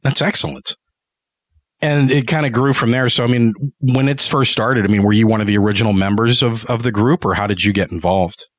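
A man's voice: medium pitch, brisk, fairly bright tone, dry recording, studio quality. A man hosting a podcast and interviewing a guest in casual conversation.

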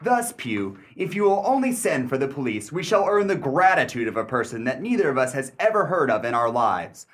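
An actor playing a sly, smug gentleman collector in a radio play, suave, wordy and mock-polite. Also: medium pitch, big pitch swings, a little fast, hardly any pauses. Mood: smug, needling.

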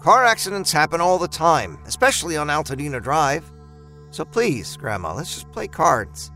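A man's voice: a little high, sing-song, normal speed, pushed and bright, faint music behind, full character voice. A man voicing a teenage grandson in an audio story, soothing and a little dismissive toward his grandmother. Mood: impatient, soothing.

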